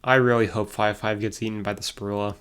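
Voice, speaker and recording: A man's voice: on the low side, little pitch movement, fast talker. Wry male reviewer over music. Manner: wry, sarcastic. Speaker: video reviewer and narrator. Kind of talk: episode recap narration with wry asides. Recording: music playing behind the voice. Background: background music.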